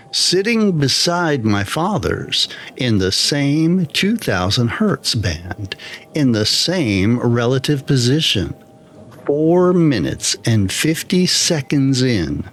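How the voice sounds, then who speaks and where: A man reading a scripted first-person horror story in a measured, deliberate way, with pauses between phrases. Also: mid-range voice. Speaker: storyteller, channel narrator.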